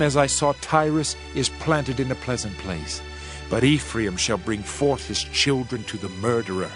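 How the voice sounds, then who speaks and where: A man's voice: medium pitch, a bit bright. A man giving a solemn, declamatory dramatized reading of King James scripture as narrator.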